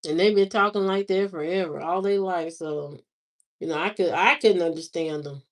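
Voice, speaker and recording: A woman hosting a livestream, chatting casually with viewers in a slow, drawling, musing way. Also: lowish voice. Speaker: livestream host. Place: lives in Indiana, not from there.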